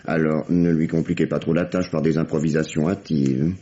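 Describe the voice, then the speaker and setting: A man's voice: a bass voice, steady tone, normal speed, warm tone. An actor playing a shrewd, middle-aged police detective in a radio mystery, teasing and condescending as he interrogates. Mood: sly, ironic. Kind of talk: 1950s French radio mystery acting. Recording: dull, vintage radio drama sound.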